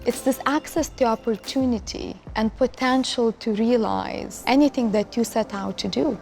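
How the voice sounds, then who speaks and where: A woman's voice: fairly high pitch, moderate pace, creaky, a little mellow, soft background music. A woman from Lithuania speaking as an art hub director in a documentary interview.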